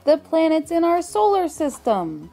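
A woman reading a rhyming children's book aloud with a measured, rhythmic delivery. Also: very high-pitched, big pitch swings, vocal fry, mellow. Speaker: storybook reader. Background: faint music.

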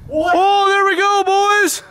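A young man vlogging with friends in an empty stadium at night, excitable and yelling often. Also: very high-pitched, takes it slowly. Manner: excitable, yells and laughs a lot. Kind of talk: excited group vlog.

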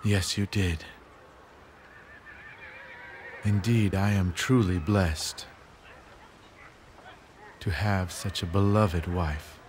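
Low-voiced, noble strategist lord. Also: male voice, slight background noise. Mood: tender. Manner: calm, formal, deliberate. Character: noble, weary strategist lord, tender husband. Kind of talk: scripted video game cutscene acting.

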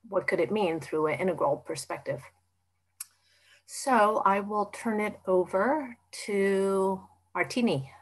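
Slow, lowish-voiced woman facilitating. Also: a bit bright. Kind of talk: group announcement, conversational. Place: indoor room, on a video call.

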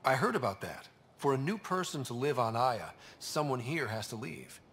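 An actor playing a friendly, curious young human space explorer in a video game, conversational and inquisitive. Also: male voice, medium pitch, sing-song, moderate pace, fairly bright tone, a little hiss or hum.

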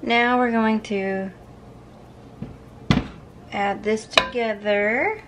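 A woman's voice: on the high side, steady tone, drawn-out words. Chatty young woman cooking at home. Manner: chatty, upbeat, talks while cooking. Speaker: cooking vlogger. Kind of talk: casual cooking video. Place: home kitchen, indoors.

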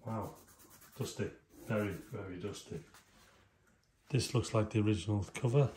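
Man talking over clear noise and clicks. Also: lowish voice, moderate pace, mellow. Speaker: host of a hands-on vintage electronics video. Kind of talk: casual hands-on demonstration commentary.

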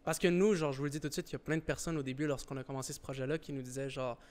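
A man's voice: mid-range voice, steady tone, racing, darkish tone. A man in his twenties hosting a casual podcast chat on a couch set, speaking into a handheld mic. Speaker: podcast host.

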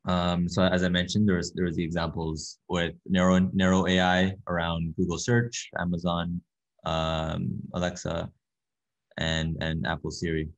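A young man with a very low, flat voice presents an online workshop from a small room. He speaks softly and haltingly, with many ums and restarts. The sound comes over a dull, lo-fi video call. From Canada. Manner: soft-spoken, hesitant, many fillers and repeated words. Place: small room with a window, indoors. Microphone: laptop webcam on a video call.

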